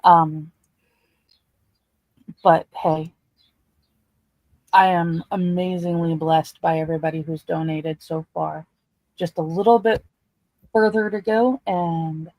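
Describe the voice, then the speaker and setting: A woman's voice: on the low side, fairly even intonation, slow pace, long pauses, darkish tone, no echo, low-quality recording. A woman co-hosting a livestream, talking in a casual, informal style. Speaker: livestream co-host.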